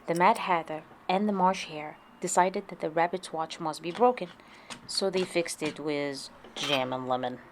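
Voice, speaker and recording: A woman's voice: deep voice, normal speed, slight background noise. A woman narrating a children's storybook aloud as a read-along.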